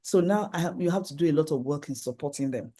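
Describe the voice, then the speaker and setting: A middle-aged conference speaker with a Nigerian accent, voice mellow and dark in tone. Talks earnestly through lists of points, restarting sentences now and then, pitched in the middle range. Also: quick pace. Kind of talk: presentation with slides.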